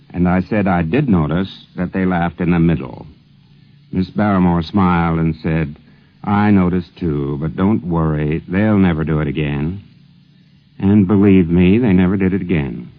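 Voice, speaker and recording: A man with a low voice narrates as host of a 1950s radio program. His delivery is scripted, and the recording is dull and old. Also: normal speed.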